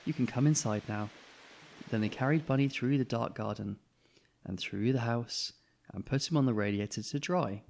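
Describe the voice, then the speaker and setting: A man narrating a children's storybook in an expressive way, as a reader who acts out the dialogue. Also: mid-range voice, moderate pace, creaky, a little hiss or hum.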